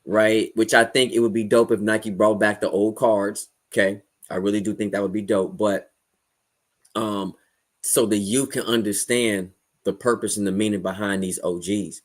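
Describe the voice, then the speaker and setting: A man's voice: lowish voice, little pitch movement, normal speed. An American man in a casual livestream discussion, opinionated and explanatory, often checking in with his listeners as he goes. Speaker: podcast panelist.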